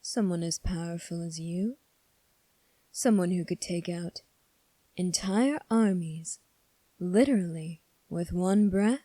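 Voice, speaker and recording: A woman voicing a low, mellow, sly young prince. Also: no room sound. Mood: smooth, teasing. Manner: measured, formal, coolly probing. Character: calm, sly, teasing young male prince, mildly sadistic. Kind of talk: ASMR roleplay audio drama.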